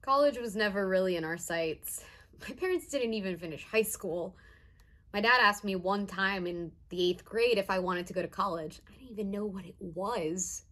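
A young woman playing an earnest first-generation college student in a conversational, emotive dramatized monologue with hesitant pauses. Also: mid-range voice, a bit bright, lightly acted. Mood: matter-of-fact. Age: young adult.

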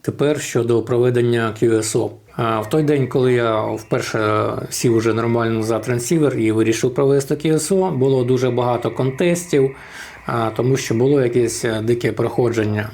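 A man with a gravelly, creaky edge to an average-pitched voice. He explains things informally and step by step, like a hobbyist vlogger walking through a tutorial. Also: fairly even intonation, fairly quick, darkish tone. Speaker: amateur radio vlogger.

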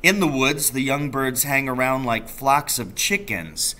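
A man's voice: medium pitch, expressive, a little slow, bright, forceful tone. A man voicing an enthusiastic, roguish father in a storybook, telling tales with emphatic relish. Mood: scornful, explaining.

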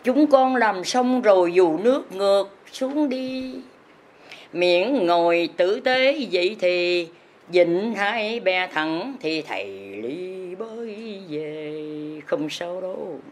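Slow sing-song sermon from a woman. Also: mid-range voice. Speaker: religious teacher. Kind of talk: chanted verse sermon.

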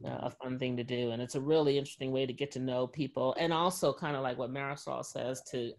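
A woman's voice: rumbling low, steady tone, fast talker. Woman in her forties, panel moderator on a video call from home, chatty. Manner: chatty, many fillers, laughs. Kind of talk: casual panel discussion. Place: home room, indoors. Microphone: video call webcam.

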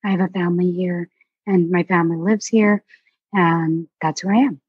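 A young woman from Alaska, an artist chatting as a guest in a relaxed, rambling way with many fillers. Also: lowish voice, normal speed, husky, no echo, phone-quality sound. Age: young adult. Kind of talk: casual interview chat.

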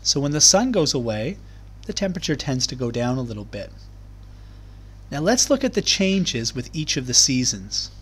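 A man reading aloud to young children as a teacher, explaining things in clear, simple terms. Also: mid-range voice, very animated, normal speed, fairly bright tone. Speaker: primary school teacher. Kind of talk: children's read-aloud lesson.

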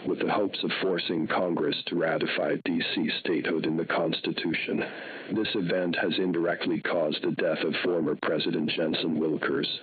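A man voicing a cold, menacing government emergency announcer in a scripted horror broadcast, with stern, measured delivery through a radio filter. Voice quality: very deep, husky. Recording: muffled phone-like radio broadcast sound.

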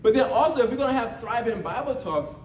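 Male American preacher, notably high voice, conversational and exhortative, seeks agreement. Distant mic in a reverberant room. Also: expressive, quick pace. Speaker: church ministry leader preaching a sermon. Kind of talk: informal motivational sermon with call-and-response. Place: church meeting room with responding congregation. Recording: echoey room from far off, faint noise.

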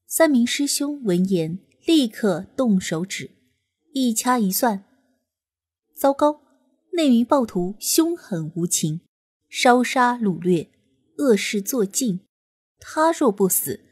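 A woman reading an audiobook in expressive storytelling narration. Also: a little high, breathy edge. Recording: narration over soft music.

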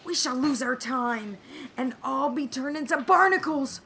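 A woman plays a grumbling, anxious island monster in a Shakespearean audio drama. The voice is high and slow, with faint noise on the recording. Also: sharp tone, heavily acted. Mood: worried, grumbling. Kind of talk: Shakespearean radio play acting.

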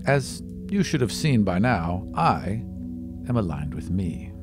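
A man voicing a haughty, self-serving young demigod student in a tabletop game, speaking in terse, measured, aloof phrases. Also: sits low, big pitch swings, heavily acted. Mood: matter-of-fact, aloof. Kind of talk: tabletop roleplay in character. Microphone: close podcast microphone on stand. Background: instrumental music.

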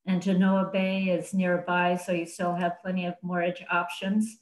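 A North American woman in her sixties gives updates in a fairly low voice. She speaks as a guide editor on a video call, and the room adds a clear echo. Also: flat delivery, moderate pace. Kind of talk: informational panel update.

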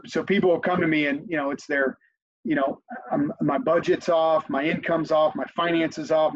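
A man in his forties, a company CEO and guest, talking reflectively on a video call and often repeating words as he thinks. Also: fairly high pitch. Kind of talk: conversational interview. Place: home office on a video call. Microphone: video call webcam. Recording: dull, lo-fi video call audio.

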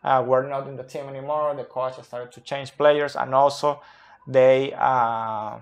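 A man in his thirties who is not a native English speaker, explaining football tactics unscripted at a whiteboard, slow and deliberate with many pauses. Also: medium pitch, fairly even intonation. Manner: slow and deliberate, pausing often. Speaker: football analyst. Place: small room by a whiteboard.

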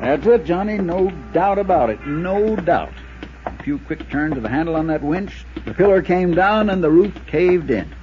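An actor playing an anxious, insistent small-town American insurance agent in a 1950s radio drama, earnest and pleading, with a husky voice. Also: male voice, a little high, animated, moderate pace, soft background music, close to an everyday voice. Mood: convinced. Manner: earnest, pleading, hesitant under pressure. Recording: dull lo-fi 1950s radio recording.

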